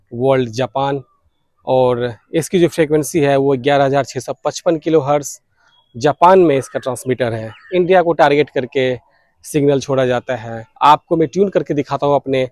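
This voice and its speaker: A man's voice: mid-range voice, a little mellow, no echo. Man explaining in a dry, close recording. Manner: explanatory, mixes in English technical terms. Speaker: radio hobbyist vlogger, host. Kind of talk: informal video explainer, listing details.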